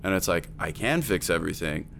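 A man's voice: low-pitched, expressive, fairly quick, creaky, fairly bright tone, a little hiss or hum. A man working as a music producer and teacher, talking emphatically in a casual chat, with lots of fillers.

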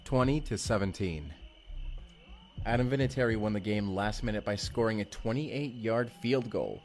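A man's voice: fairly low pitch, normal speed, a little mellow, natural voice. An actor playing an earnest, anxious young man stranded from the future, talking in a hesitant, rambling, conversational way in an audio roleplay. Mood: casual. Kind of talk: first-person audio roleplay acting. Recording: music behind the voice.

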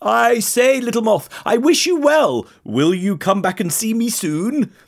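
Woman as storybook giraffe, gentle, high, welcoming, polite, lively intonation. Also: a little slow, gravelly, full character voice. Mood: kindly, encouraging. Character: gentle, lonely, friendly giraffe, high and airy. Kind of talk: children's storybook character voice.

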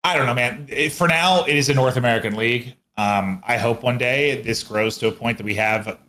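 A man's voice: mid-range voice, fairly quick, sharp tone. A man co-hosting a podcast, chatting casually and bluntly with plenty of swearing and colloquial phrasing. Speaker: esports commentator and podcast co-host.

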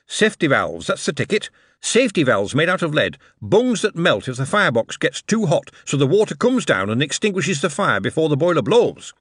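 A man's voice: a little high, sing-song, fairly quick, fairly bright tone, breathy edge, no room sound, studio quality, pitched above the performer's own voice, exaggerated voice. A man voicing a cheerful, earnest male steam engineer with a Northern English dialect. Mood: eager. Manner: eager, earnest, dialect-heavy.

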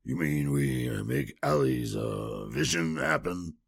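A man playing a gruff, sarcastic, skeptical adventurer in an audio drama, with a dry, sardonic way of talking. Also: low voice, big pitch swings, slow pace, full character voice. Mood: sardonic, wary. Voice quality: gravelly, husky.

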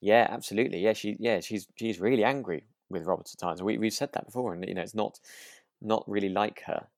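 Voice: sits low, fairly quick, no room sound, clean studio sound. A podcast co-host chatting casually and agreeably, tentatively thinking aloud. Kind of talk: casual chat.

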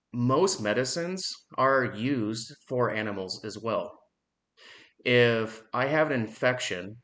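A man in his thirties giving a blunt, frustrated opinion monologue on his own podcast, swearing freely and pausing often on "um.". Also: mid-range voice, fairly bright tone. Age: 30s. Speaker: podcast host.

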